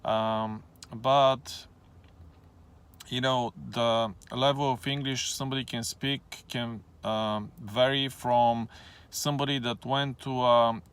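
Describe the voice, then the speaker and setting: A man talks straight to the camera in an unhurried vlog. His voice is smooth and clear, at an ordinary middle pitch. He hesitates often and fills the gaps with ums while keeping a plain, matter-of-fact tone. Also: steady tone, a bit bright. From Bulgaria. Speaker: channel host. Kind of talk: casual explanatory vlog.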